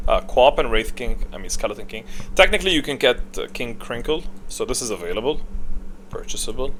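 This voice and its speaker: Male gaming creator, mid-pitched, informal and opinionated, rambling commentary style, faintly noisy recording. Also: a bit bright. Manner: opinionated, informal with casual swearing. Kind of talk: casual rambling tier-list commentary.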